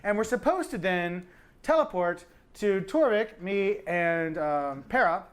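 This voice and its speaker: A man's voice: high-pitched, expressive, unhurried. A man casually improvising a recap as a player in a tabletop game podcast.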